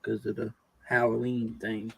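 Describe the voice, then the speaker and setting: A man's voice: fairly low pitch, level tone, measured pace, gravelly, husky, dry recording, low-quality recording. A man in casual group conversation, with informal, folksy phrasing.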